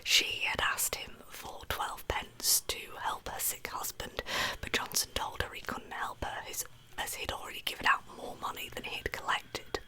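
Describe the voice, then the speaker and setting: A woman narrating in a breathy, unvoiced whisper as an ASMR storyteller, with chatty, informal asides. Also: moderate pace, pushed and bright, poor audio. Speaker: host of a true crime ASMR channel.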